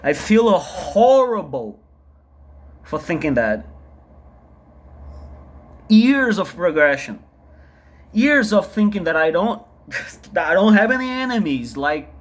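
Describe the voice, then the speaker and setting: Slow, halting Brazilian man in his thirties. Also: a little high, very animated. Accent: Brazilian Portuguese. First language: Portuguese. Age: 30s. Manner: halting, repeats himself, heavy and subdued. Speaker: channel creator. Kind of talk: confessional solo vlog. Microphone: camera recording himself.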